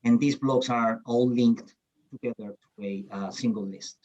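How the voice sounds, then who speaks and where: A man from Peru answering on a conference panel. He speaks haltingly, with frequent fillers and restarts. The recording sounds a little dull. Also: mid-range voice, fairly even intonation, darkish tone. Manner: hesitant, many fillers and restarts. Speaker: panelist, certification program CEO.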